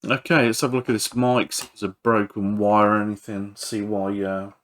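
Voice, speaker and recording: A man giving casual hands-on repair commentary as a video host, halting and thinking aloud while he works. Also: fairly low pitch, flat delivery, a bit bright.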